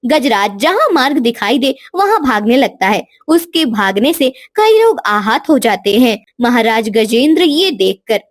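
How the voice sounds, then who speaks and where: A woman's voice: on the high side, big pitch swings, a little slow, hardly any pauses, no room sound, clean studio sound. A woman giving scripted narration for a children's moral story.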